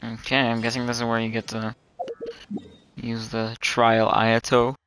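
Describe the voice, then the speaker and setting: A man's voice: medium pitch, completely flat delivery, measured pace, a bit bright, clean tone. A man giving casual gameplay commentary as a game streamer.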